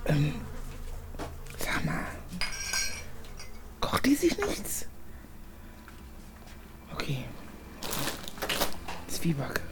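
An actress playing an outspoken younger city woman in a radio play, direct, colloquial and expressive. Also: low-pitched, very slow, pauses often, heavy background noise, exaggerated voice. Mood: nervous muttering. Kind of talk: naturalistic German radio play acting.

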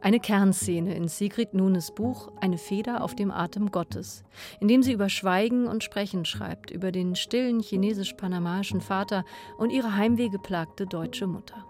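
Woman, radio host, scripted narration, interview questions, medium pitch, music behind. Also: moderate pace, darkish tone.

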